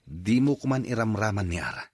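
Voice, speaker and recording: A man's voice: lowish voice, big pitch swings, fairly quick, darkish tone, no room sound, clean studio sound. A man playing an unhappy young husband and father in an Ilocano radio drama, earnest and sorrowful. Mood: firm, weary.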